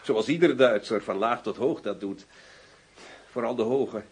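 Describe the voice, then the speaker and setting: An actor playing a cynical, smoothly menacing ex-general turned arms dealer in a radio drama, husky and formal in his delivery. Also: medium pitch, a little slow. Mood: disdainful.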